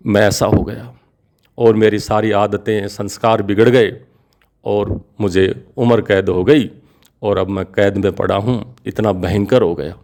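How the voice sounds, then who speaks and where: A man's voice: lowish voice, darkish tone. Regretful prisoner in a fable, pleading and emotional, voiced by a man. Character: remorseful grown male prisoner.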